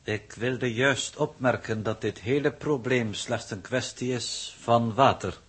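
A man's voice: medium pitch, unhurried, a bit bright, husky. A man playing a cold, precise, insistent coordinator in a 1960s Dutch radio drama, formal and detached, with a Netherlands accent.

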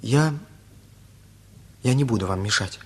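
An actor playing a lovesick, wounded teenage boy, earnest and halting, in a radio play. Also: on the low side, expressive, husky. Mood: anguished, confessing.

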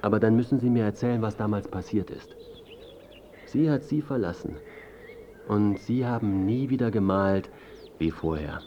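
An actor playing a pushy, self-important male biographer in a radio drama, smooth-talking and evasive. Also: lowish voice, expressive, normal speed, pauses often, dark tone, lo-fi sound, slight background noise, natural voice. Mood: insistent. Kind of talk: radio drama dialogue and narration.

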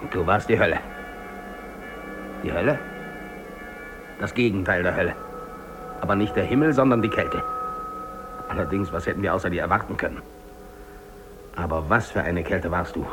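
A man's voice: deep voice, moderate pace, long pauses, music in the background, lightly acted. A male actor playing a lone, dying English polar explorer in a 1950s German radio drama monologue, theatrical, defiant and despairing, moving between muttering and declaiming. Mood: accusing, anguished.

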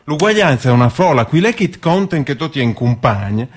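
A man's voice: mid-range voice, very animated, brisk. An actor playing a scornful, old-fashioned working man in a radio play, grumbling in thick Modenese country dialect. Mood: scolding. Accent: Emilian, Modena countryside dialect. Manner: grumbling, dismissive, interjects "eh". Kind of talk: naturalistic radio theatre dialogue in dialect.

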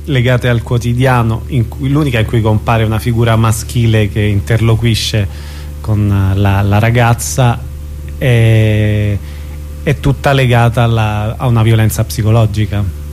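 A man's voice: lowish voice, fairly even intonation, unhurried, a little mellow, slight background noise. A man interviewing a guest in a conversational, informal way as a radio host.

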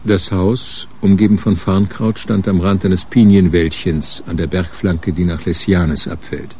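An actor narrating a hard-boiled radio crime story. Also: male voice, low-pitched, little pitch movement, measured pace, darkish tone, slight background noise. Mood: descriptive.

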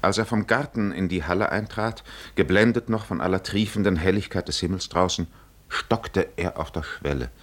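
A man narrating a 1950s German radio drama as a measured, solemn literary storyteller. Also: deep voice, fairly bright tone. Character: solemn male storyteller of an epic.

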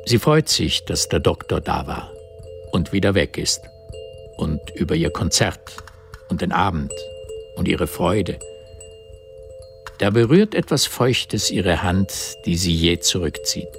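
Low deep guy narrating a literary radio play, faint music behind. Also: animated, takes it slowly, gravelly, long pauses.

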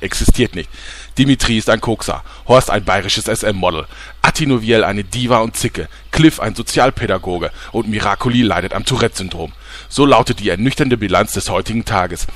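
An actor playing a pushy TV reporter with a dramatic newsreader tone in a comedy audio drama. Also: male voice, fairly low pitch, brisk, a bit bright, a little hiss or hum, natural voice.

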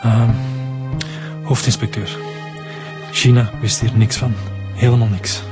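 A man's voice: medium pitch, level tone, measured pace, over loud music, lightly acted. A man playing a bitter, weary Flemish suspect. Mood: resigned. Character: middle-aged man confessing to a killing. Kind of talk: radio drama acting.

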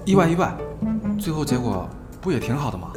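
A man's voice: mid-range voice, very animated, fairly quick, close to an everyday voice. An actor playing a polite, smitten young male film star in a Mandarin audio drama, speaking hesitantly. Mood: evasive. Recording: loud music behind the voice.

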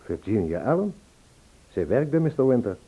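Earnest lovesick man in old radio play, bit rough voiced, really tinny audio. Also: medium pitch, big pitch swings, moderate pace. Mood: polite, insistent. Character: lovesick man anxiously searching for a vanished woman. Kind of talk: Dutch radio play, acting and first-person narration.

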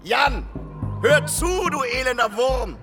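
An actor playing a fiery, fanatical street prophet in a radio drama, declaiming apocalyptic visions with exalted fervour and dramatic pauses. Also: male voice, extremely high pitch, expressive, over music, heavily acted. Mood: scornful, fervent.

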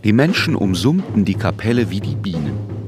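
A man narrating a literary radio drama in a measured, sober manner. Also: on the low side, sing-song, over music. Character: measured, literary narrator of a small-town tale.

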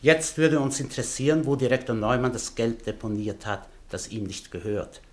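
A man's voice: mid-range voice, normal speed, lightly acted. An actor playing a blunt, threatening male henchman in a radio play, speaking curtly. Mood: menacing. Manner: curt, threatening. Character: blunt, menacing male henchman named Leo.

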